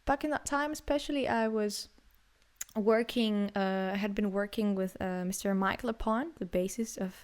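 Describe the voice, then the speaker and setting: A young adult woman from Greece, a singer and songwriter, answering interview questions in an unhurried way, with many fillers and restarts. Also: mid-range voice.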